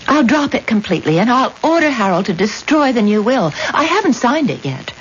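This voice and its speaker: An actress playing a wealthy, devoted, forgiving wife in a radio drama, with earnest, halting, theatrical delivery. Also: female voice, fairly high pitch, big pitch swings. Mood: hesitant, confessing. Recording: dull, hissy old radio recording.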